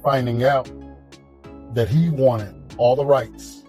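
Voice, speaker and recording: A man giving an informal monologue to viewers in halting, broken phrases. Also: mid-range voice, fairly even intonation, mellow, soft background music.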